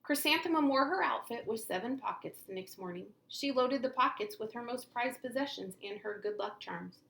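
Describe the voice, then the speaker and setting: A female teacher reading a picture book aloud in an expressive storytelling manner. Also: on the high side, moderate pace, vocal fry, roomy sound, poor audio. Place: classroom.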